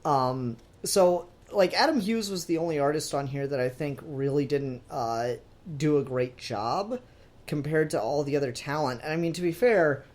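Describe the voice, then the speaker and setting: A man's voice: on the high side, moderate pace. A man talking casually as a podcast co-host in a review discussion, in a hesitant, hedging, conversational way.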